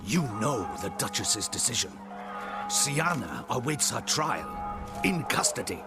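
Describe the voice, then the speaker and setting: An actor playing a proud, duty-bound guard captain in a fantasy game, speaking formally and emphatically with military indignation. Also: male voice, mid-range voice, sing-song, measured pace, loud music behind the voice. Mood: wary, stern. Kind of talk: video game cutscene acting.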